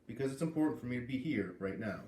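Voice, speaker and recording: A man's voice: mid-range voice, expressive, quick pace, mellow, lightly acted. A man reading the part of a stubborn, good-humored jailed father who casually justifies himself in a storybook. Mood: calm. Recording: echoey room with clear background noise.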